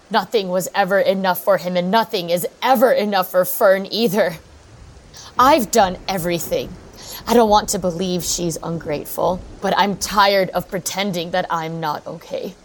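An actress playing a haunted, grieving middle-aged mother in an audio drama, speaking in a halting, emotional way. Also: fairly low pitch, slight background noise, rough recording. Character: grieving, worn-out mother haunted by her runaway daughter. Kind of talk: audio drama acting, monologue.

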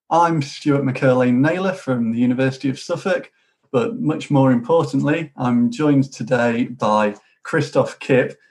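Man, gravelly voice, host, introducing a guest speaker. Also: medium pitch. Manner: friendly, enthusiastic introductions. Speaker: lecture series host.

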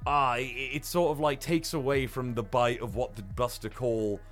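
A man's voice: mid-range voice, fairly even intonation, normal speed, fairly bright tone, soft background music. A man co-hosting a casual podcast, recapping a story in a rambling, hesitant way with some swearing. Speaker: podcast co-host. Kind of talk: casual manga recap discussion.

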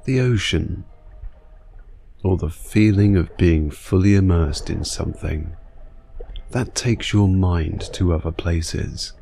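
A man with a very low, dark and mellow voice narrates a guided sleep meditation. He speaks very slowly and soothingly, leaving long gaps between phrases, with some ambient noise behind him. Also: gravelly. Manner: soothing, unhurried, hushed delivery with long pauses.

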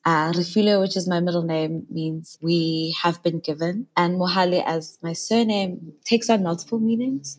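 A woman in her late twenties from South Africa, a native Sesotho speaker, answering interview questions about her life and poetry in a thoughtful, searching way. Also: fairly low pitch. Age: 20s. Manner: thoughtful, searching for words, soft-spoken. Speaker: poet, interview guest.

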